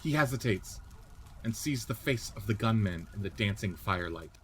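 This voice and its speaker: A man's voice: lowish voice, expressive, normal speed, a bit bright. A man narrating screenplay scene directions as a podcast host and reader. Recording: faint background noise.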